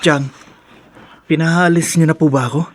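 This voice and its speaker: A man's voice: a little high, fairly even intonation, moderate pace, no echo, close to an everyday voice. An actor playing an earnest, polite young man in a Filipino radio drama. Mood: puzzled.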